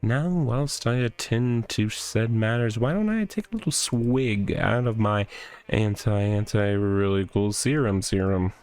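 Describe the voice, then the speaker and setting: A man voicing a smug, boastful tough guy from a visual novel, with pompous, drawn-out, theatrical delivery. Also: fairly low pitch, a little mellow, clear, resonant tone. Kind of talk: visual novel character read aloud. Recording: music behind the voice.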